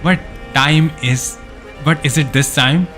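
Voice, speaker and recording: A man's voice: a little high, takes it slowly, a bit bright, soft background music. A man live streaming a game, reading its story text aloud with casual asides, sometimes stumbling over words and correcting himself.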